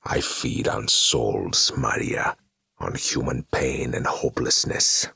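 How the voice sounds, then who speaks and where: A man voicing a sinister male demon who feeds on despair, speaking slowly and scornfully in a whispery voice. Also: rumbling low, gravelly, pushed and bright, husky, no echo, clean studio sound, heavily acted. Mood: menacing. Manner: slow, cold and scornful.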